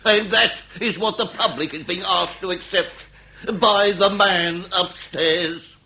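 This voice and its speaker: A man's voice: high voice, slow, gravelly, pushed and bright, slight background noise, exaggerated voice. A British man acting an exasperated, sardonic Victorian police inspector in a radio comedy drama, with emphatic, pompous delivery. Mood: exasperated, incredulous. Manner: theatrical, emphatic, pompous phrasing.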